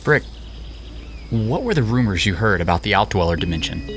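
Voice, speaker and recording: A man's voice: fairly low pitch, big pitch swings, a little fast, music in the background. A man playing a blunt, needling companion in an audio drama. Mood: uneasy. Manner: blunt, teasing. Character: bored, needling, animated male companion to a soldier.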